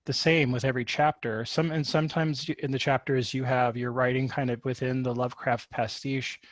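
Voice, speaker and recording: A man's voice: mid-range voice, level tone, brisk, no echo. A man hosting a podcast interview, asking questions in a rambling way with restarts. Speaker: podcast host.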